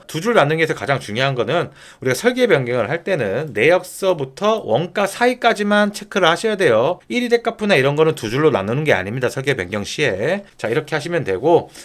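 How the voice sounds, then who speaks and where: Man explaining software, very lively pitch swings. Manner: step-by-step, poses questions then answers them. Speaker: software tutorial presenter. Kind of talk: informal screen-share tutorial explanation.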